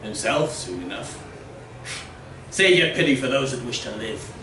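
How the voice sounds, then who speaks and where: A man's voice: medium pitch, animated, unhurried, bright, forceful tone. A man voicing scornful, suspicious villagers in a storybook tale, declamatory and emphatic. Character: scornful, suspicious villagers gossiping about an outcast. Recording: roomy, distant miking with faint noise.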